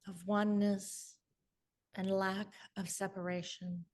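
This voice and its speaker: A woman leading a group in prayer and guided meditation, speaking gently and soothingly. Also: medium pitch, flat delivery, slow pace. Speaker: meditation leader.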